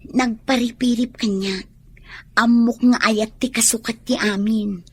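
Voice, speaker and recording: Casting: a coy young Ilocano woman, fairly high husky voice, radio drama. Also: steady tone, a little slow. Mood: confiding.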